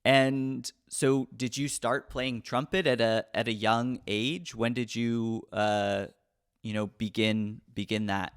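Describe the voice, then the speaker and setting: A man's voice: medium pitch, fairly even intonation, slow, clean tone. A man hosting a podcast, asking long, rambling questions full of fillers. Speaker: podcast host. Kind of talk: casual interview.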